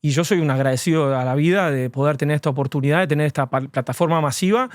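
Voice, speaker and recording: A man's voice: fairly high pitch, fast talker, no echo, studio quality. A man, an immigration lawyer, answering interview questions earnestly and at length, with frequent fillers.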